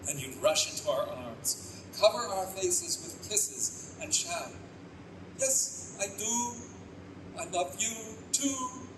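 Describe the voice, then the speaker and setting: A man acting an anguished, wisecracking father reborn as a baby, excitable and theatrical in a live old-time radio play. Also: high-pitched, takes it slowly, full character voice. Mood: tender, wistful. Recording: echoey live stage, distant mic, clear noise.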